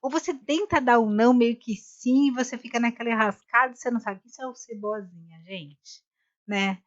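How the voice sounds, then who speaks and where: A woman's voice: fairly high pitch, brisk, dull audio. A woman co-hosting a live conversation, telling a story in a rambling way with many restarts. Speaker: co-host of a live talk. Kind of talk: casual chat.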